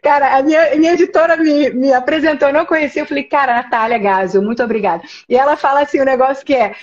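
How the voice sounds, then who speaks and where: A Brazilian woman with a high, bright voice rattles along quickly and emphatically, often restarting her sentences. She is on a video call, and the audio comes through dull and lo-fi. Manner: animated, emphatic, with many restarts. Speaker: host, professor. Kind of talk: casual conversation.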